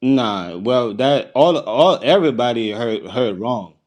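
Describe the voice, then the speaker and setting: Virgin Islands man explaining himself haltingly. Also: medium pitch, lively intonation, a little mellow. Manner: repetitive, defensive, halting with restarts. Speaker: panel guest. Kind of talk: casual live-stream chat.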